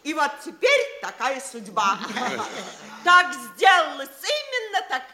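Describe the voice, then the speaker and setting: An actress playing an excitable, effusive provincial official's wife, gushing theatrically in a comic radio play. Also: female voice, sits high, slow pace, heavily acted. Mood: gushing excitement. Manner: effusive, breathless gushing with giggles. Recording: echoey room, faint crowd chatter.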